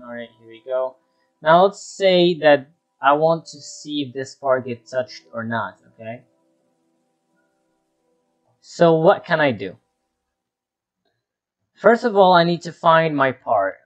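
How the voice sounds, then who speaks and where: A man giving an unscripted screen-share tutorial, talking through each step as he works and often repeating himself. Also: medium pitch. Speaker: tutorial presenter.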